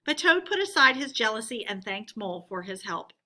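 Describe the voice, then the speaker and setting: A woman storyteller with a fairly high, bright voice reads a children's tale aloud. Her delivery is expressive and forward, clearly shaped for read-aloud narration. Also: fairly quick.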